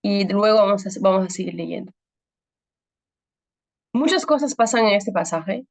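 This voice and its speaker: A woman in her thirties, a pastor from Peru, with a Peruvian Spanish accent touched by French, giving a women's ministry talk and pausing often with fillers to search for words. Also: mid-range voice, lively intonation, creaky. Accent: Peruvian Spanish with some French influence. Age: 30s.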